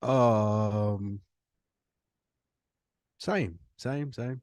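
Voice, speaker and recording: American man with a low, dry-sounding voice. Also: very slow, darkish tone, no room sound. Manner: informal, slangy. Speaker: podcast co-host. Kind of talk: casual podcast chat.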